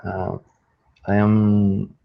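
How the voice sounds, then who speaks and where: A young Brazilian man, native in Portuguese, speaks English with a Brazilian accent in a low voice. He goes very slowly and hesitantly, hunting for words, over dull lo-fi audio. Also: completely flat delivery, vocal fry. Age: young adult. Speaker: English student. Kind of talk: halting conversation practice.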